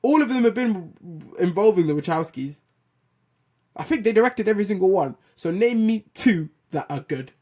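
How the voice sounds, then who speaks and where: A man with a loud voice hosting a film and TV show, giving an animated, opinionated rant with casual swearing. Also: high voice, moderate pace. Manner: animated, blunt, swears casually, restarts sentences. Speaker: host of a film and TV news show. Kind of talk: opinionated solo commentary rant.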